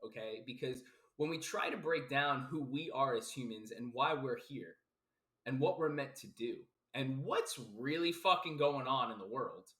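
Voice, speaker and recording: A man's voice: medium pitch, expressive, normal speed, a bit bright. A man from South Florida, a life coach, giving a casual, emphatic philosophical monologue full of repetition, fillers and the odd swear word. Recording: roomy echo.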